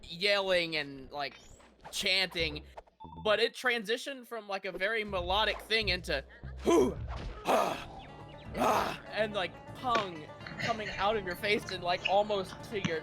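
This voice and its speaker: A man's voice: sits high, measured pace, bright, forceful tone, soft background music. A man chatting casually on a stream call, rambling and stumbling with lots of "like". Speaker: stream guest. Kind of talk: casual chat.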